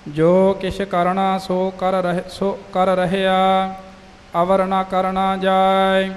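A man reciting scripture in a slow, measured, sing-song chant. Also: high-pitched, completely flat delivery, long reverb tail, soft background music.

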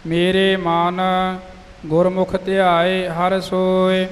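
A man reciting scripture in a slow, measured, sing-song chant. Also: high-pitched, completely flat delivery, in a large hall, faint music behind.

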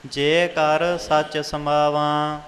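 Man chanting scripture slowly in reverberant hall. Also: on the high side, flat delivery, a bit bright, a little hiss or hum. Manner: slow, measured chanting. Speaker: student reciting Sikh scripture. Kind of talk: sing-song devotional scripture recitation. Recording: long hall reverb, music behind.

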